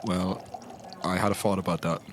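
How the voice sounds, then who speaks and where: A man plays a polite, practical male agent in a tabletop game. He speaks in a lowish, understated, mild way. There is clear background noise around the voice. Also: fairly even intonation, normal speed, natural voice. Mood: mild, reasonable. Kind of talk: improvised tabletop roleplay. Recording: noisy background ambience.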